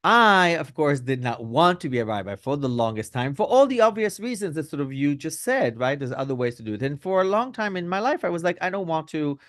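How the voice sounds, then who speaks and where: A middle-aged rabbi from Belgium and Israel answering interview questions conversationally, hedging with lots of fillers and tag questions. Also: male voice, a little high, sing-song, fairly quick, few pauses, a bit bright, dry recording, studio quality. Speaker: rabbi, podcast guest.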